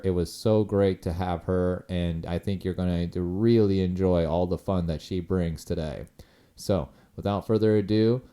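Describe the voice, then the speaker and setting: A man introducing a podcast episode as its host, in an upbeat, measured, promotional way. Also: sits low, dark tone, clean tone. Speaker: podcast host and life coach. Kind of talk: scripted show introduction.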